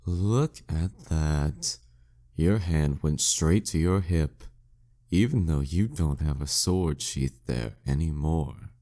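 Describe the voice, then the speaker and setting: A man's voice: a bass voice, dark tone. A man playing a flirty, dominant demon king villain in an intimate audio roleplay, teasing and smug in manner. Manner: teasing, smug, slow deliberate delivery.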